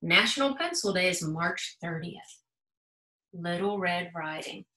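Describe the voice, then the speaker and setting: Bright, animated American woman reading a storybook. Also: on the low side, a little slow. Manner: animated, emphatic storytelling with dramatic gasps. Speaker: school principal reading aloud to children. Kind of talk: expressive children's storybook read-aloud. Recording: roomy, echoing sound.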